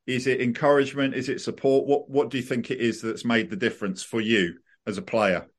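A man's voice: mid-range voice, steady tone, brisk. A man hosting a football podcast, asking long, layered questions and repeating words as he builds up to them. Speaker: podcast host. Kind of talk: interview questions.

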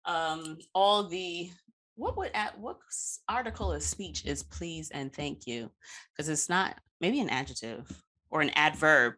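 A woman's voice: low-pitched, expressive, a little slow, pushed and bright. A woman hosting a podcast in casual chat, talking in a playful, slangy way and swearing freely. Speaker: podcast host.

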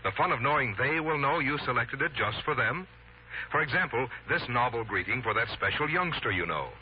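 A man's voice: mid-range voice, animated, fairly quick. A man announcing on 1940s radio, reading a scripted commercial with a polished, persuasive sales delivery. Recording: muffled old radio broadcast, faint noise.

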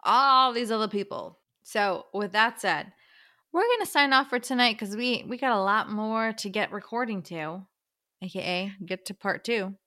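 A woman's voice: medium pitch, normal speed, bright, forceful tone. A woman co-hosting a podcast with casual, playful, jokey banter. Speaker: podcast co-host.

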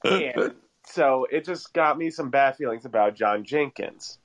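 A man's voice: medium pitch, unhurried. A man talking casually as a fan guest on a sports call-in show.

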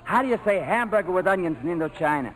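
A man's voice: sits high, expressive, fast, a bit bright. An actor playing a brash, clowning airman sidekick, slangy and sarcastic, in a 1940s radio drama. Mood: wisecracking. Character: brash, wisecracking, girl-chasing airman sidekick. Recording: noisy old 1940s radio broadcast.

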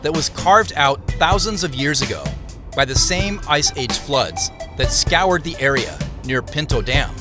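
A man narrating a scripted audio drama in the first person as a reflective investigator, with measured, descriptive storytelling. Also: a little high, animated, over music. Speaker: narrator and lead character.

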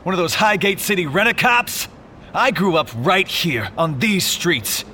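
An actor playing a suspicious, self-righteous police detective, confrontational and needling, in a science-fiction audio drama. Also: male voice, high voice, sing-song, measured pace, bright, forceful tone, a little hiss or hum, exaggerated voice. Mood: righteous, determined. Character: pushy, zealous male street cop, suspicious detective.

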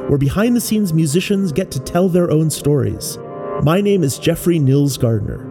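An American man explaining creative work in a measured, reflective narration, as a sound artist presenting their own work. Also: a little high, animated. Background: music.

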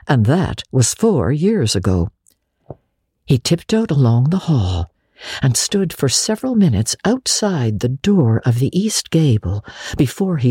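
A woman's voice: very deep voice, warm tone, husky, no echo, studio quality. A woman narrating a classic novel as a dramatic reading. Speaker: audiobook narrator.

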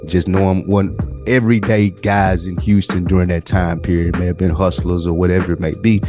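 A man with a deep, low voice talks like a podcast co-host among friends. His delivery is informal and slangy, with swearing mixed in, and music plays along with the talk. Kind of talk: casual chat about films, music and plays.

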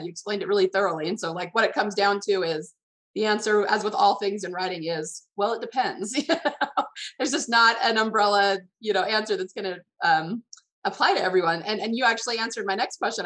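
A woman's voice: mid-range voice, fairly bright tone. A woman interview host chatting casually and discursively, working her points round into questions.

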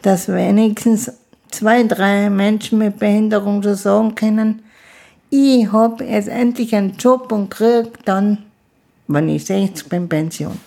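A woman in her fifties, a guest in an interview, with a gravelly, creaky voice at a middle pitch. She speaks slowly and haltingly and often restarts her sentences. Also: fairly even intonation, dark tone. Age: 50s. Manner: slow, halting speech with restarts. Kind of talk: casual conversation.

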